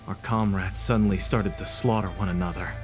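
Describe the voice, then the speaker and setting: An actor playing a stern, embittered soldier in a fantasy video game, speaking formally and gravely. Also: male voice, low-pitched, fairly even intonation, fairly quick, natural voice. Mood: anguished, vengeful. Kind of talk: video game character acting. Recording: loud music behind.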